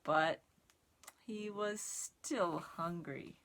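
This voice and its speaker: A woman reading aloud to young children in simple, expressive, word-by-word phrases. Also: on the low side, very slow. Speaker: storytime reader and sign language teacher. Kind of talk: children's read-aloud and sign lesson.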